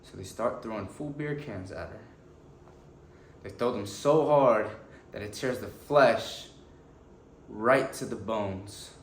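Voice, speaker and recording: An actor playing an earnest, anguished defense lawyer pleading to a jury, delivering a deliberate, emotional courtroom monologue with weighted pauses. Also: male voice, fairly low pitch. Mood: grim, anguished. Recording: echoey room with faint background noise.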